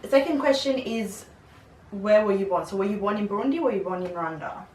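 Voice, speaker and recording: A young woman hosting her own video channel, chatting and laughing easily. Her voice sits at an ordinary pitch. It sounds roomy and a little distant, with faint noise behind her. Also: lively intonation, fast, darkish tone. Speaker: vlogger. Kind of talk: casual chat.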